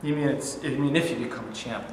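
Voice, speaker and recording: A man's voice: mid-range voice, fast talker, lightly acted. A man reading the part of a cautious, stammering friend in a staged play reading, recorded in an echoey rehearsal studio. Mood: wary. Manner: hesitant, stammering. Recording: echoey studio room from a camera.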